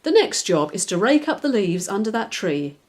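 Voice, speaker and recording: A woman's voice: mid-range voice, big pitch swings, normal speed, a little mellow, close to an everyday voice. A woman voicing a kindly, encouraging father in a children's storybook, giving simple instructions. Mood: instructive.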